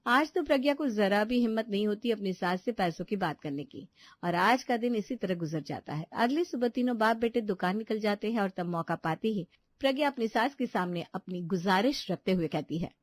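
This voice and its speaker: A woman's voice: medium pitch, animated, moderate pace, no echo. A woman reading scripted narration for a domestic family story as the storyteller.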